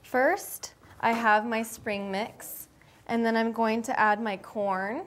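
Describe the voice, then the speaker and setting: A woman's voice: a little high, fairly even intonation, slow. A young woman, a dietetics student, plainly explaining each step of a salad recipe in a studio kitchen. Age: young adult. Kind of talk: cooking demonstration.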